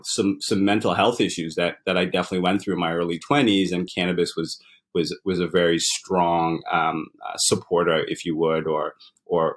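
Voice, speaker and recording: Deep-voiced man reflecting in an interview. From Uganda. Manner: reflective, many fillers and repeated words. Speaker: guest, startup founder and author. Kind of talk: relaxed interview conversation.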